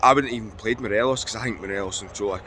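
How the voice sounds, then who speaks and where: A Scottish man with a croaky voice, chatting casually about football outdoors. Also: fairly low pitch, rapid-fire, pushed and bright, slight background noise. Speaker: podcast panelist.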